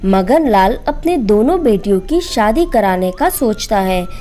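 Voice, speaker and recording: A woman's voice: medium pitch, expressive, measured pace, mellow. A woman storyteller reading a scripted moral bedtime story as narrator.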